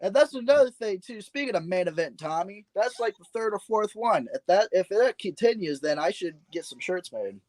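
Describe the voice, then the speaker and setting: A man's voice: high-pitched, sing-song, moderate pace, few pauses, darkish tone, no echo. Laid-back rambling man, dry sound. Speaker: podcast guest, wrestler. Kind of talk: casual chat.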